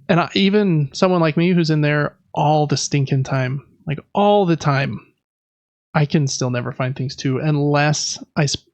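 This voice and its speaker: A man's voice: fairly high pitch, no echo, highs cut off. A man co-hosting a podcast, chatting casually about video games. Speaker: podcast co-host.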